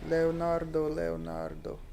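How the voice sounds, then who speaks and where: Soft-spoken streamer man with gravelly voice. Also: a little high, monotone, a little slow, slight background noise. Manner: chatty, self-deprecating, a bit soft-spoken. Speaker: game streamer. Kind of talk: casual gameplay chat, reading chat aloud. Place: home room. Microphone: desk microphone. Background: game music.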